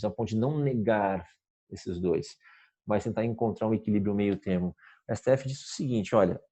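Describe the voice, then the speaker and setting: A man gives a recorded law lecture. His voice sits a little low, mellow and slightly husky. He explains carefully, repeats words as he restarts, and often checks that listeners are following. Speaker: law professor.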